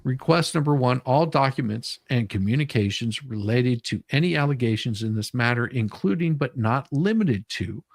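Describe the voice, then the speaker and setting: A man with an average-pitched voice hosts a casual commentary. He reads from documents and breaks them down bluntly. The sound is dry, with little room on it. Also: animated, normal speed, hardly any pauses, no echo. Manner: blunt, mildly profane, explanatory. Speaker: online show host. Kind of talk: casual live commentary, reading documents aloud.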